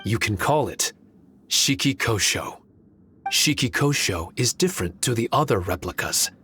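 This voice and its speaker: A man voicing a calm, earnest guardian spirit in a video game, speaking formally and politely at a measured rate. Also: mid-range voice, soft background music. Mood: grateful.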